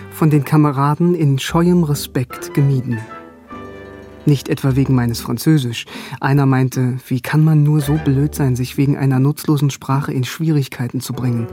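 Actor as a higher-voiced, wry young student. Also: male voice, warm tone, lightly acted. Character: wry, bookish young East German man recalling his studies. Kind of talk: first-person radio drama narration and dialogue. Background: music.

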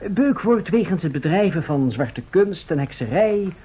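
A man's voice: sits high, lively intonation, moderate pace, dark tone, husky, highs cut off. A man playing an unruffled, matter-of-fact visitor from the future in an old radio drama. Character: matter-of-fact male time traveller from the far future.

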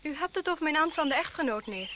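High, lilting, coquettish young noblewoman. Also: expressive, fast talker. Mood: haughty, coy. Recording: dull, noisy old radio-play recording.

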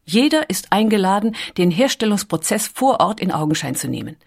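A woman's voice: mid-range voice, sing-song, brisk, gravelly. A woman reads scripted documentary narration as the narrator.

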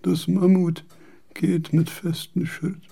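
An actor playing a frail philosopher of nearly eighty in a radio drama, halting and repeating words in a raspy, breathy voice. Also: male voice, high-pitched, little pitch movement, dark tone, exaggerated voice. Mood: halting, absorbed. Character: frail, failing old philosopher, man near eighty.

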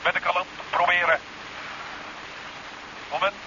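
An actor playing a matter-of-fact diver on a dangerous undersea mission in a 1970s Dutch sci-fi radio play. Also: male voice, sits high, flat delivery, unhurried, pushed and bright, heavily acted. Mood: focused. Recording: dull, hissy 1970s radio recording.

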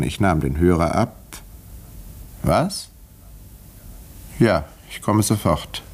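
Precise doctor narrating, lively inflection. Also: male voice, fairly low pitch. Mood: urgent. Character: village doctor narrating a murder case, controlled and precise. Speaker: narrator and lead actor. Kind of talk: 1950s German radio play acting. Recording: vintage 1950s radio recording, roomy dialogue scenes.

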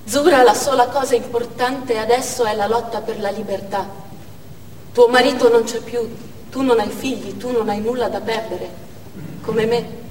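An actress playing a grieving young Chechen war widow in a stage monologue, speaking in stark, halting short phrases. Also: female voice, on the high side, steady tone, darkish tone, far from the mic, close to an everyday voice. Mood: urgent. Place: theatre stage, distant microphone. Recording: echoey theatre hall from afar, noisy.

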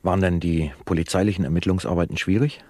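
An actor playing an earnest male radio interviewer in a satirical drama, asking and explaining in a polite, measured manner. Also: sits low, fairly even intonation, dark tone, dry recording, studio quality. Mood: earnest, probing. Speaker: radio host.

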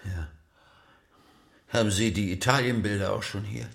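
An actor playing a hesitant, awestruck museum curator in a radio drama, halting and repeating himself. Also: male voice, on the low side, gravelly, a bit bright. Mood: reserved, musing. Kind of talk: naturalistic radio drama dialogue.

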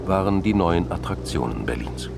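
A man's voice: low-pitched, animated, normal speed, gravelly, very noisy. A man reading scripted literary narration as the narrator of a crime radio play.

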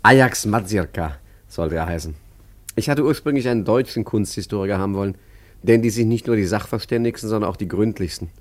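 A low male voice of an actor as a wily, urbane art forger in a radio play. He is obliging and deferential, with dry understatement. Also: natural voice. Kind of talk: German radio play acting and narration.

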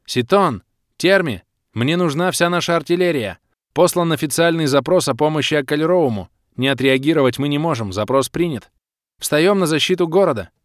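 Guy doing a fierce lady clan boss, barks battle orders, pitched up, fantasy audiobook. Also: normal speed, no room sound, clean studio sound, close to an everyday voice. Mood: urgent, commanding. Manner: barking crisp orders. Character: commanding female clan leader, fierce battle baroness.

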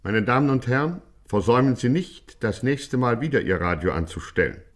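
A man's voice: mid-range voice, animated, brisk, loud music behind the voice. A man announcing in a formal, emphatic old-time radio style.

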